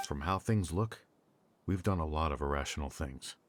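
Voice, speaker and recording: A man's voice: a bass voice, moderate pace. A man playing a subdued, remorseful academy scholar in a video game, earnest and reflective. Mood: regretful.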